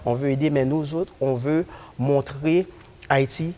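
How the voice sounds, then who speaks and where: An earnest young man from Haiti, answering as a guest in a studio interview. He talks in a halting way, full of hesitations, at a middling pitch. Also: steady tone, mellow. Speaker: guest, community organizer.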